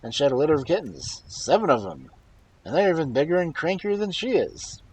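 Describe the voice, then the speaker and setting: Man reading as emphatic, animated country householder. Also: fairly high pitch, fairly quick, far from the mic, slight background noise, pitched above the performer's own voice, poor audio. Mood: boastful. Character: Halvor, plainspoken country householder facing trolls.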